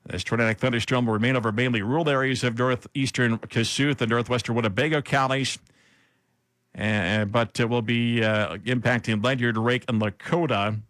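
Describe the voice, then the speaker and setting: An American man anchoring live severe weather coverage on radio, speaking haltingly as he improvises between read-out bulletins. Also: medium pitch, steady tone, fairly bright tone, no echo.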